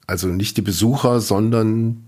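A man co-hosting a podcast in casual chat, a dry, deadpan storyteller who now and then breaks into shrill mock rants. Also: moderate pace. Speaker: podcast co-host.

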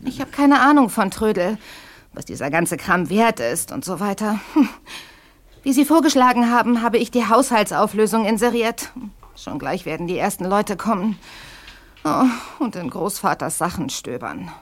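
A woman's voice: medium pitch, expressive, normal speed, natural voice. Audio drama role: actress playing a frank young granddaughter, mid-range voice, flustered and chatty, out of her depth. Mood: flustered, grateful. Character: overwhelmed grieving young granddaughter clearing out an inherited house. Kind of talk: German audio drama acting.

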